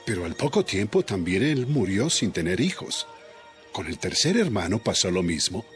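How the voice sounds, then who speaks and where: An actor playing a skeptic who sets out a tricky puzzle story in an expressive way. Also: male voice, fairly low pitch, normal speed. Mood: posing riddle. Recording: voice over light background music.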